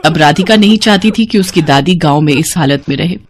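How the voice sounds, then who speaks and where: A woman's voice: deep voice, brisk, slight background noise. A woman storyteller delivering scripted narration for a moral bedtime story.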